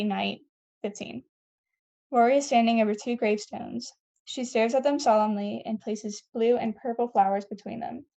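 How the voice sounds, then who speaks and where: A woman's voice: on the high side, dark tone. A woman reading scene headings and stage directions as the narrator of a scripted table read.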